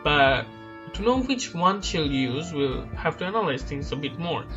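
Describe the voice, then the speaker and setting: A man's voice: on the high side, sing-song, measured pace, highs cut off. Man, light voice, chatty and hedging gaming commentary, music bed faint. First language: not Chinese. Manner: casual, self-deprecating, hedging with many uhs. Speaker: gaming YouTuber. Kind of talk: speculative game commentary over slides. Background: background music.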